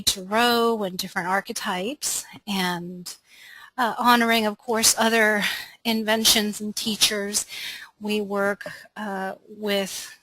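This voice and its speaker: A middle-aged American woman from Los Angeles hosting a class, introducing herself informally in a reflective, conversational way with pauses to gather her thoughts. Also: mid-range voice, bright, forceful tone, dry recording, low-quality recording. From Los Angeles, United States. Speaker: webinar host and astrology course facilitator.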